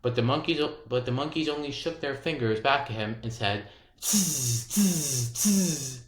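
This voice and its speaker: A storyteller reading a children's picture book aloud, expressively and deliberately, with dramatic emphasis. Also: medium pitch, roomy sound, slight background noise, poor audio.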